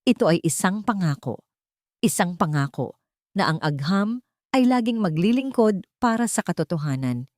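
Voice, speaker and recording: Woman narrator as confident female scientist, Filipino accent, lowish pitch, steady, grateful, heartfelt audiobook speech, dry sound. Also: moderate pace, no echo, lightly acted. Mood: solemn, heartfelt. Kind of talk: audiobook character dialogue.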